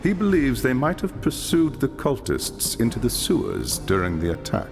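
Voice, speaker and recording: A man's voice: mid-range voice, very animated, normal speed. An actor playing a stern elder male elf lore master, grave and commanding as he gives orders. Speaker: quest giver. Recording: reverberant with game music behind.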